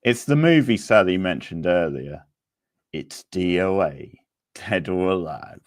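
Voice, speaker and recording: A man's voice: deep voice, animated, measured pace. A man hosting a quiz in a casual, laid-back, chatty manner. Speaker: quiz host. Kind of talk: casual live quiz chat.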